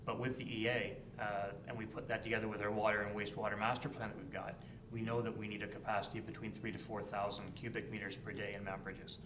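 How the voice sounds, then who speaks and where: A man councillor making a measured, step-by-step argument in a council meeting. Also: fairly low pitch, flat delivery. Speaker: town councillor. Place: echoey council chamber. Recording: echoey room with background noise.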